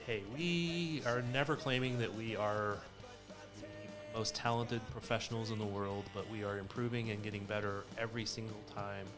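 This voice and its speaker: A man's voice: fairly low pitch, fairly even intonation, normal speed, over music. A man co-hosting a soccer podcast in casual discussion, explaining his points with emphasis. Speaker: sports podcast co-host. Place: recorded in person in a shared room.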